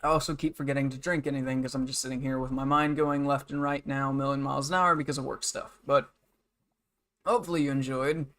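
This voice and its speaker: A young man in his twenties talks off the cuff to his livestream. His voice sits at an average pitch. He rambles and grumbles in a blunt, matter-of-fact way, like casual venting after a day of work. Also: fairly even intonation, fast, fairly bright tone. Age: 20s. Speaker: game livestreamer.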